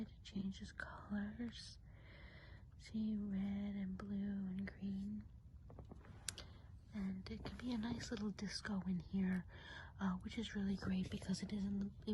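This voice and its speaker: A woman playing a kindly, whimsical woodland witch in an ASMR role play, whispering softly, breathily and gently. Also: medium pitch, close to an everyday voice, poor audio. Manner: slow, gentle, unhurried explaining. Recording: faint background noise.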